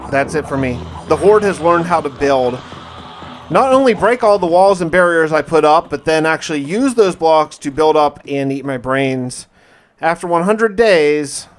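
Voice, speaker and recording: A man hosting a gaming video, telling a dramatic first-person story of his play in a theatrical, in-character way. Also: fairly high pitch. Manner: theatrical, in-character roleplay delivery with repeated phrases.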